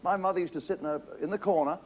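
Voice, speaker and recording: A man hosting a television game show, teasing contestants with quick comic one-liners. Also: high voice. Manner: joking, teasing, punchline-driven. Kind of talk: quick-fire comic patter. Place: television studio. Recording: TV studio with loud audience. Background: audience laughter and studio noise.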